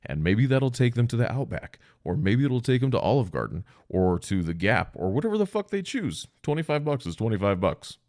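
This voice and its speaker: A man's voice: medium pitch, sing-song, fairly quick, hardly any pauses, darkish tone, no room sound, studio quality. A man hosting a solo podcast. He talks in a rambling, casual, self-deprecating way with some swearing, and sounds congested and stuffy-nosed from a sinus cold. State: sore throat from long talking. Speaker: podcast host.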